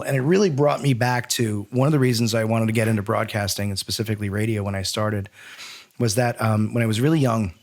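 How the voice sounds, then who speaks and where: A man hosting a podcast in a casual, informal way, talking in loose run-on anecdotes. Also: mid-range voice. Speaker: podcast host. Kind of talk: casual interview chat.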